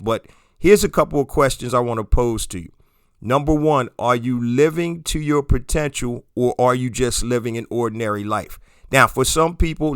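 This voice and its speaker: A man with a hoarse voice hosting a solo podcast, speaking straight to his listeners in a motivational, promotional way. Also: medium pitch, a bit bright, dry recording, clean studio sound. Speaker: podcast host.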